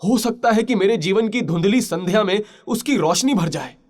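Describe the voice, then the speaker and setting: Lovelorn pirate-turned-mariner played by a man: high male voice, proud yet pleading, halting, passionate audio-story delivery. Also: expressive, darkish tone. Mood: earnest longing. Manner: impassioned, pleading, halting pauses. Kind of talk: Hindi literary audio story drama.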